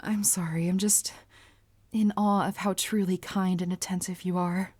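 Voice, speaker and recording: A woman playing a polite, earnest, bashful young anime heroine with a crush, speaking formally and gently gushing in a roleplay audio. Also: on the low side, little pitch movement, normal speed, slightly rough. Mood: bashful admiring. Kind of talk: anime character roleplay audio, addressed to listener.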